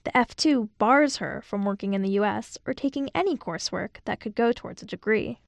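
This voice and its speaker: Creaky-voiced woman radio reporter. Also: mid-range voice, dry recording. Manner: measured, clear reporting delivery. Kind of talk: scripted news feature narration.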